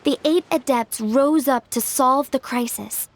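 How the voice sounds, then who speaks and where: A woman's voice: high-pitched, unhurried. A woman voicing a gracious, humble young female artisan in a video game, polite and genteel as she speaks. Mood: storytelling. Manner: polite, self-deprecating, genteel. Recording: music behind the voice.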